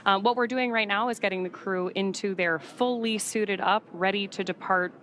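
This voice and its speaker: A woman's voice: mid-range voice, fairly even intonation, normal speed, fairly bright tone. A woman working as a live space mission commentator, giving informative commentary that is partly read from notes.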